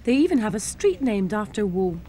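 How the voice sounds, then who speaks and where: A young adult woman presenting and narrating a schools history programme, explaining things clearly and simply for children. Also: mid-range voice, sing-song, brisk, mellow, slight background noise.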